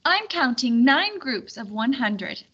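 A woman's voice: high voice, big pitch swings, slow pace, a bit bright, husky, dull audio, exaggerated voice. A woman voicing a harried, fretful medieval lady planning a feast in a storybook. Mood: working it out. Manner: exclaiming, fretful.

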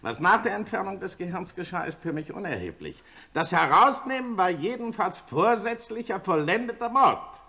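A stern, animated male prosecutor. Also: sits high, moderate pace, few pauses, full character voice. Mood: accusing. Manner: formal, incisive, legalistic. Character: stern, accusing male chief public prosecutor. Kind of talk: German radio play acting.

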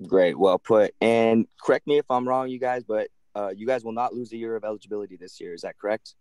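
A man's voice: lowish voice, fast talker, a little mellow, smooth, dry recording. A man, a TV reporter, asking press questions over a video call in a friendly, rambling way.

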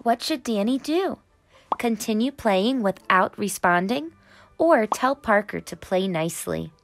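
A woman reads a children's story in an expressive, teacherly manner. Her pitch moves a great deal and she takes her time, with music playing underneath. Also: a little slow, vocal fry, no echo. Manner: expressive, dramatic, teacherly delivery. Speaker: storyteller reading a children's book. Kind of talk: animated scripted read-aloud for children.

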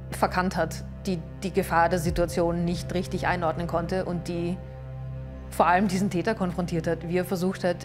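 Young woman, thoughtful, lowish pitch, music bed. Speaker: interviewee, survivor. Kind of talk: documentary interview. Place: living room with sofa. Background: soft music.

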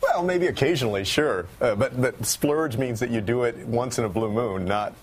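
A man in his thirties on a TV panel, speaking in a measured, steady way. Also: mid-range voice. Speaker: mayor guest. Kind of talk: TV panel discussion. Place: TV studio set.

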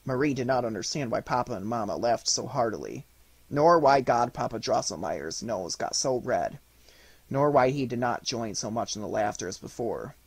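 A man's voice: medium pitch, animated, normal speed, breathy edge, no echo. A man reading an audiobook, giving scripted storybook narration. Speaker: audiobook reader.

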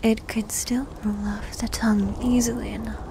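A woman playing a needy, teasing succubus demon girl in an ASMR roleplay, speaking in a soft, breathy whisper with a coy manner. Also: fairly high pitch, little pitch movement, moderate pace, background noise, lightly acted. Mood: coy, intrigued.

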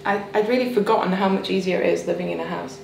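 A woman's voice: mid-range voice, fairly even intonation, fast talker, creaky, darkish tone, faint music behind, poor audio. A woman from England in her thirties giving casual vlog commentary with lightly wry narration. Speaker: sailing vlogger.

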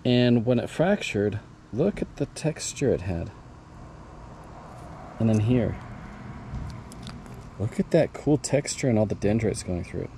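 A man's voice: lowish voice, lively intonation, unhurried, pauses often, mellow, slight background noise. A man vlogging outdoors, enthusiastically showing fossils and cut rocks to his viewers in casual show-and-tell narration. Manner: enthusiastic, talks to viewers while handling rocks. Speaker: rockhounding vlogger. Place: outdoors at a mine site and a backyard. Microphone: action camera mic.

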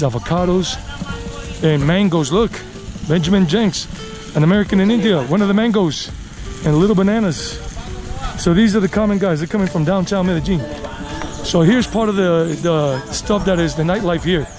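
Guy in his late 40s doing a walking vlog, kinda high voice, rambly, music playing behind him. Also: moderate pace. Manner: chatty, rambling, thinking aloud. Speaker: travel vlogger and walking tour host. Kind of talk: casual walking commentary to viewers. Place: outdoors, walking a leafy urban residential street.

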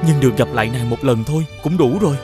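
A man playing a humble, forgiving young prince in an animated fairy tale, speaking formally and earnestly. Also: medium pitch, quick pace, natural voice. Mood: humble, tender. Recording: clear music behind the voice.

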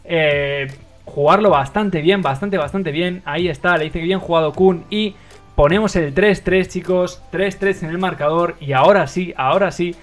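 A young man from Madrid with a Spain Spanish accent, hosting a livestream and commentating a mobile game match with lots of fillers. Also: on the high side. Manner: excitable play-by-play, many fillers. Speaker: livestream host and caster.

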